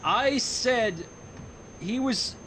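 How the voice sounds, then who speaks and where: A man's voice: extremely high pitch, expressive, drawn-out words, sharp tone, a little hiss or hum, rough recording. A man reading a story aloud on a livestream and breaking off for casual asides. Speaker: reader of fan fiction on a livestream.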